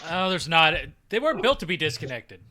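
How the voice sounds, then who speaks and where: A man's voice: on the high side, sing-song, fairly quick, bright, forceful tone. A man acting a glum, resigned repairman sidekick in a radio comedy. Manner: gloomy, resigned. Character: glum, wry male repairman coworker.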